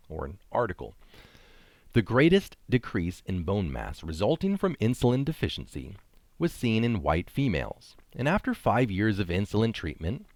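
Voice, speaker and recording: Man reading aloud with very lively pitch. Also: no room sound. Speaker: reader of articles. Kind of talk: reading an article aloud with casual asides.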